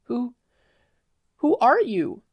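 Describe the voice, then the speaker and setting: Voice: mid-range voice, wildly swooping pitch, very slow, breathy edge, no room sound, clean studio sound, voice pushed up, heavily acted. A narrator voicing a meek, frightened little girl with a timid, hesitant manner. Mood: scared, hopeful.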